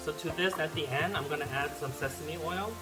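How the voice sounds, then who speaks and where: A man's voice: on the high side, fairly quick. A Korean-born man whose first language is Korean, hosting a casual cooking tutorial in his kitchen and chatting through memories with lots of fillers. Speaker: home cook and video host. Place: home kitchen while cooking. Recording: roomy kitchen with loud background music.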